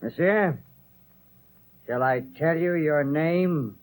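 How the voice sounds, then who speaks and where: A cold, suspicious innkeeper in a 1930s radio play. His voice sits fairly high for a man, and he speaks curtly, with gruff, dismissive authority. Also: takes it slowly. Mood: stern, threatening. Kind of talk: 1930s radio drama acting. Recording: vintage 1930s radio broadcast.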